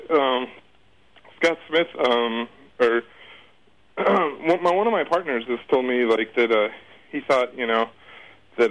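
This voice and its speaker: A man's voice: medium pitch, a bit bright, low-quality recording. A man speaking as a guest in a casual interview, talking in a rambling, hesitant, informal way with some slang.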